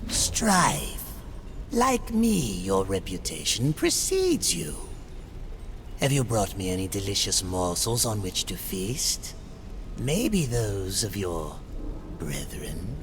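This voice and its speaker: An actor playing a sly, greedy soul-eating demon merchant in a video game, with an insinuating, theatrical delivery that draws words out. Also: male voice, lowish voice, huge pitch swings, slow, background noise, full character voice. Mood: oily, hungry. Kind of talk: video game cutscene acting.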